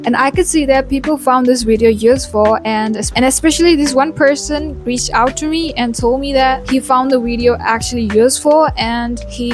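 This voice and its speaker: A woman with an Indian English accent, chatting earnestly to camera as she explains how to learn an accent. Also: on the high side. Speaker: YouTuber teaching accents.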